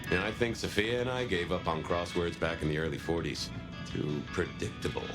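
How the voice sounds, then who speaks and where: A man's voice: low voice, brisk, natural voice. A man playing a world-weary, sardonic vampire detective, narrating with dry, wry noir musing in a video game. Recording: loud music under the voice.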